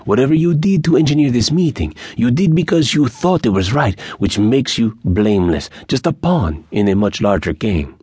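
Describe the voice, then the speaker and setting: A man voicing a sociable yet menacing bandit leader in an audiobook, smooth and deliberate. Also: mid-range voice, sing-song, darkish tone, dry recording, clean studio sound, voice pushed up. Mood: knowing, mocking.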